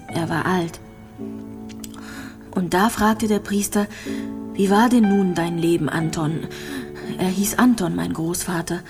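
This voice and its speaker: A gravely ill young woman in a German radio play, with a lowish voice. She speaks softly and haltingly, melancholic and quietly fearful, with music clearly behind her. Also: steady tone. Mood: reminiscing. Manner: soft, halting, introspective. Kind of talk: radio drama monologue.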